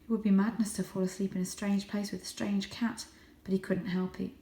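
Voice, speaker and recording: A woman reads a children's story aloud. Her pitch is average and her delivery is expressive and dramatic. The recording is roomy, with a noticeable echo. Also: fairly quick. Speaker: storybook reader, teacher reading to children. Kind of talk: children's audiobook narration. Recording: roomy, echoing indoor sound.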